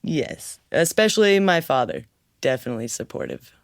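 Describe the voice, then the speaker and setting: A musician guest with a slightly raspy voice, chatting easily and laughing often in an interview. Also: mid-range voice, huge pitch swings, normal speed, no room sound, clean studio sound. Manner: easygoing, laughs often, talks freely. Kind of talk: casual interview chat.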